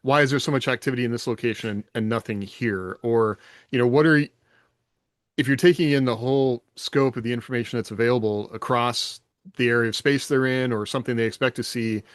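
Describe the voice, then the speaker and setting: A man's voice: medium pitch, fairly even intonation, a little fast, no echo. Man in dry-sounding casual podcast discussion. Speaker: podcast co-host.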